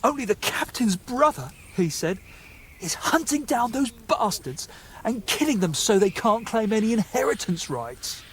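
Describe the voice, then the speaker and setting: An actor playing a nervous, gullible young medieval bandit in a game, with a hesitant, stammering, excitable way of talking. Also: male voice, high-pitched, measured pace, a little hiss or hum, heavily acted. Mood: spooked, earnest. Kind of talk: video game dialogue acting.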